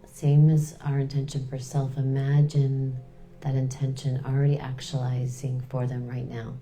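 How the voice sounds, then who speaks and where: Very deep-voiced woman guiding a slow meditation. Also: little pitch movement, mellow, faint music behind. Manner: soothing, unhurried, pausing between short phrases. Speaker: guided meditation leader.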